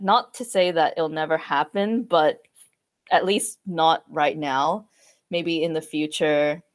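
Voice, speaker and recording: Low-voiced young woman from Hong Kong. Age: 20s. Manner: thoughtful, hesitant with fillers. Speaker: guest. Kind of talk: casual conversation.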